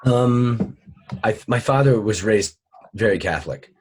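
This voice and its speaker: A man's voice: fairly low pitch, unhurried, a little mellow, slightly rough. A young man from Virginia, a comedian guest, telling stories with a wry tone in a casual podcast chat. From Richmond, Virginia, USA. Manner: wry storytelling with laughs.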